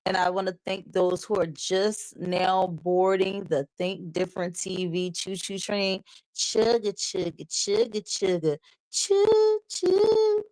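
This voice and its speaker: A woman hosting a live talk show, chatting upbeat with her viewers while her voice is still slightly hoarse. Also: mid-range voice, slow, a little mellow, no room sound, poor audio. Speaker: host. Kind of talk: casual live show chat.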